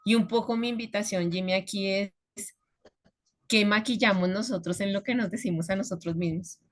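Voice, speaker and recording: A woman's voice: mid-range voice, fairly even intonation, moderate pace. Engaging Colombian woman speaker. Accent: Colombian Spanish. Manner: engaging, checks in with listeners often. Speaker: communication trainer and guest speaker. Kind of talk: conversational talk.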